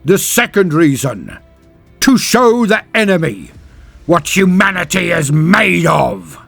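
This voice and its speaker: A man voicing a valiant space marine champion in a sci-fi audiobook, giving a solemn, rousing battle speech in a grand, drawn-out, declamatory way. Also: high voice, big pitch swings, gravelly, a bit bright, husky, soft background music, pitched above the performer's own voice, full character voice. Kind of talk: dramatic audiobook character speech.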